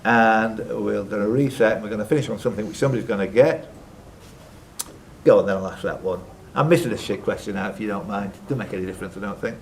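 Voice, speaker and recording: A man's voice: fairly low pitch, quick pace. A man hosting a live quiz in a club room, reading out questions and chatting with the contestants between them. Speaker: quiz host and question reader. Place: social club room with ambient noise behind. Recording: noisy live room recording.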